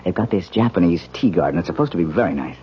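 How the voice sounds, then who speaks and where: Attentive newlywed husband, low voice, very lively intonation, casual, radio drama, muffled sound. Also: male voice, quick pace, slightly rough, full character voice. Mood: breezy. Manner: casual, solicitous. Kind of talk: 1970s radio drama acting. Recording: muffled old radio broadcast, faint noise.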